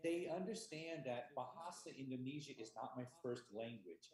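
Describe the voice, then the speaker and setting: An American man giving a conference talk on teaching, speaking emphatically and stressing key words slowly. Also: mid-range voice, animated, a little mellow. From United States. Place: remote conference stream. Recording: echoey room.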